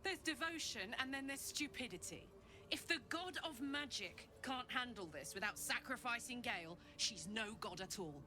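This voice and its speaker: A woman playing a blunt, excitable, informal adventurer in a video game. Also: on the high side, normal speed. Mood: indignant. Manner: informal, exclamatory, swearing. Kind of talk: video game character acting. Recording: loud music behind the voice.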